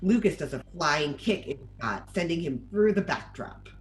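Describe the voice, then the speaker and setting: A woman's voice: sits low, expressive, moderate pace, faint music behind. A woman narrator reading the stage directions of a screenplay in scripted narration.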